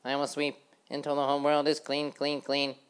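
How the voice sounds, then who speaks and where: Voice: medium pitch, completely flat delivery, moderate pace, clean tone, pitched above the performer's own voice, natural voice. A narrator voicing a fussy housefly who sweeps the road and never wants to rest. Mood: eager. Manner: eager, fussy.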